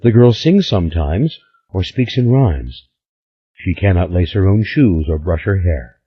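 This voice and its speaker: A man's voice: low-pitched, lively intonation, unhurried, husky, exaggerated voice. A man doing a blunt, scolding woman. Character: stern middle-aged woman, blunt and commanding. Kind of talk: audiobook character voice. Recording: slightly dull lo-fi recording.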